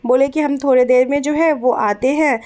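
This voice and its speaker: A young woman with a high voice talks through her evening in a relaxed, chatty voice-over. She keeps her voice soft and gentle because of a sore throat and fills her talk with little pauses and fillers. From Bihar, raised in Kolkata. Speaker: vlogger. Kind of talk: casual vlog voice-over.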